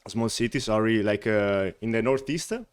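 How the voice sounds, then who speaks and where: A man's voice: lowish voice, moderate pace, smooth. A man from the Veneto region of Italy with an Italian accent, chatting as a guest and laughing often between filler words. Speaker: wine company representative, guest. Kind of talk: casual interview chat.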